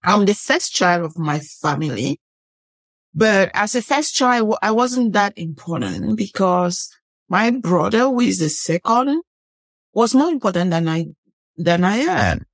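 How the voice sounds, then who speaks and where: A woman's voice: medium pitch, sing-song, unhurried, dry recording. Middle-aged Cameroonian woman, reflective storyteller. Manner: earnest, reflective, often rephrases mid-sentence. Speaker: guest, biblical studies professor. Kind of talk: personal storytelling in an interview. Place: podcast studio table.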